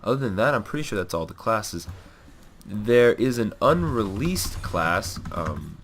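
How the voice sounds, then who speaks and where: Deep-voiced young man with a cold. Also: big pitch swings, a little slow, a little hiss or hum. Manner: rambling, frequent fillers and self-corrections. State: has a cold. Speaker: gameplay commentator. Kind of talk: casual gameplay commentary.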